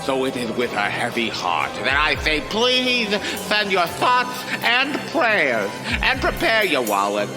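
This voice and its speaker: A man's voice: fairly high pitch, huge pitch swings, measured pace, hardly any pauses, big-room sound, over music. An actor playing a pompous, vain, vengeful alien king in a sci-fi musical audio drama, making grandiose speeches.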